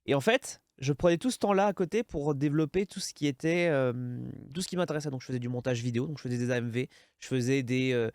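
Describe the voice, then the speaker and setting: A man's voice: mid-range voice, quick pace, hardly any pauses, a little mellow, dry recording. A man in his thirties with a French accent, hosting a casual interview and rambling with many restarts. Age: 30s. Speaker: podcast host.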